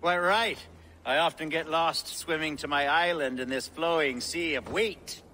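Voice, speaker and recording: An actor playing a genial, ingratiating wealthy Saxon lord in a video game, with an effusive, flowery manner. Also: male voice, on the high side, a little slow, pushed and bright, slight background noise, rough recording. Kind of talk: video game drama acting.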